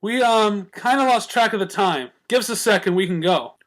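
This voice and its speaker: A man's voice: high voice, expressive, moderate pace, fairly bright tone, voice pushed up. A man voicing a casual, breezy young female pegasus pony in a story reading, informal and offhand. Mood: breezy, apologetic.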